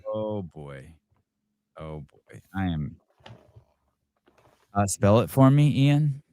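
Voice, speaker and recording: A man's voice: fairly low pitch, sing-song, takes it slowly, warm tone, slight background noise. A middle-aged man hosting a live interview, rambling and self-deprecating with many restarts. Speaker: podcast host.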